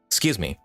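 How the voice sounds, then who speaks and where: A man giving casual live commentary as a game streamer, joking and quick to laugh. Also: fairly bright tone. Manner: jokey, laughs easily, sweary. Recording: stream with game music behind.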